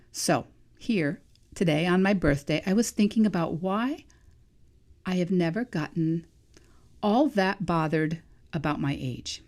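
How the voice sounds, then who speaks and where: A woman's voice: medium pitch, darkish tone. A woman in her sixties hosting a solo podcast as a vegan life coach, talking in a thoughtful, musing way. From New England. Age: 60s. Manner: thoughtful, musing, self-questioning. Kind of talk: solo reflective podcast monologue.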